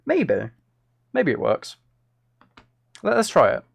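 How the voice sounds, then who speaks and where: A man's voice: high voice, wildly swooping pitch, takes it slowly, gravelly. Casual male live streamer thinking aloud over music. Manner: playful, self-deprecating, thinks aloud while working.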